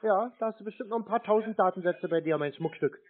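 Sarcastic guy prank calling, kinda high clear smooth voice, narrow phone sound. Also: fast. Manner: teasing, sarcastic. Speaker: host of scam-baiting prank calls. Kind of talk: mocking prank phone conversation. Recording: narrow tinny phone line.

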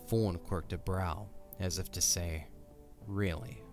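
A man's voice: low voice, fairly even intonation, slow pace, a little mellow. A man narrating a scripted story for an audio drama. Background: music.

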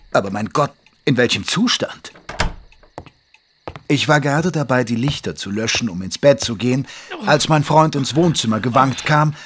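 A man playing an ageing Victorian doctor, a loyal, wry companion who tells the story in a measured, literate way in an audio drama. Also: mid-range voice, fairly bright tone, a little hiss or hum, lightly acted. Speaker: narrator and character in an audio drama. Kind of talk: German audio drama narration and dialogue.

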